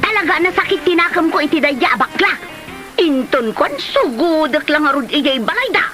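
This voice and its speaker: A woman acting as a hot-tempered, resentful scold with an Ilocano accent. Her high voice is indignant and combative, played for comedy drama with music behind it. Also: big pitch swings, normal speed.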